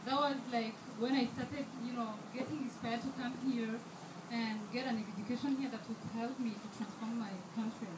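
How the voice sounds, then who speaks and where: Young woman from rwanda giving a speech, highish voice, kinda far from the mic, echoey hall, noisy. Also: fairly even intonation, moderate pace, hardly any pauses. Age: 20s. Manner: earnest, with frequent fillers and restarts. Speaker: scholarship student giving a speech. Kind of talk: prepared speech at a fundraiser. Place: event hall. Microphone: handheld microphone held too far away. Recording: echoey hall, distant mic, background noise.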